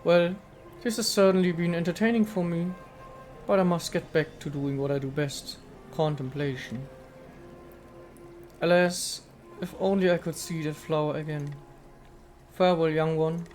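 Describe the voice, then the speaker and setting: Male reader as ancient statue goddess: serene, aloof, formal and poetic game dialogue, raised pitch, music underneath. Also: steady tone, moderate pace, pauses often, darkish tone, natural voice. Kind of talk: dramatic reading of video game dialogue.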